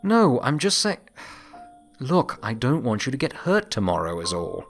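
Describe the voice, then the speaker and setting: A man's voice: medium pitch, huge pitch swings, normal speed, faint music behind. A man playing a doubtful, cautioning pony who is a concerned friend in an audio story. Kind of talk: audio story character dialogue.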